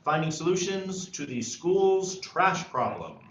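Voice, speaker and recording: A man reading the part of an idealistic, easily frustrated high school senior at a screenplay table read. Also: big pitch swings, a little slow, a bit bright, exaggerated voice. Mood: bright announcer. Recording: dull echoey room, noisy.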